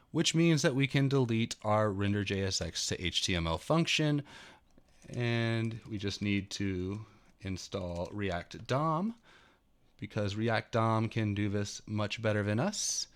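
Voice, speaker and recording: A man in his thirties reading a technical programming tutorial aloud in an expressive, slightly dramatic way. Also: on the low side, takes it slowly, clean tone. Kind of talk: read-aloud technical walkthrough with spoken asides.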